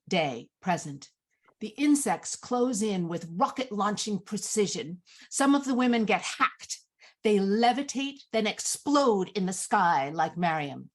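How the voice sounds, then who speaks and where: A woman narrates a screenplay at a live script read. Her pitch sits mid-range and her delivery is slow, measured and descriptive as she sets out each scene. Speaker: narrator reading stage directions.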